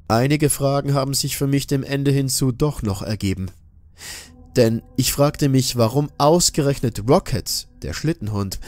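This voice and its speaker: A man narrating a scripted horror audiobook in a solemn, measured, ominous manner. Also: medium pitch, darkish tone, no echo.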